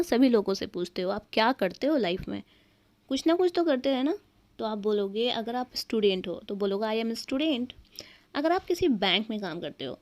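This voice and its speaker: A woman with an Indian accent teaching everyday English sentences in Hindi, saying each English sentence slowly and clearly. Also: a little high. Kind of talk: spoken English lesson in Hindi.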